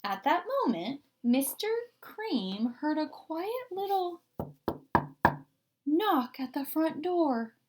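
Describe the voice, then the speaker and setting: A woman reading a children's story aloud expressively and deliberately, stretching words for emphasis. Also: high-pitched, big pitch swings, darkish tone, slight background noise. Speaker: story reader.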